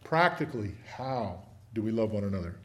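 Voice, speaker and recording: A man preaching a sermon in a church, folksy and conversational, slowing down to read scripture with emphasis. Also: lively intonation, a bit bright. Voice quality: big booming voice. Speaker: preacher teaching a church lesson. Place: church sanctuary.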